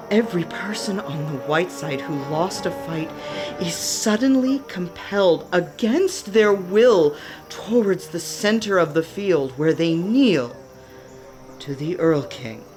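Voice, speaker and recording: A woman tells a radio play story at a slow, deliberate pace, with music clearly playing behind her. Her scripted narration is measured and dramatic. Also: fairly low pitch, darkish tone.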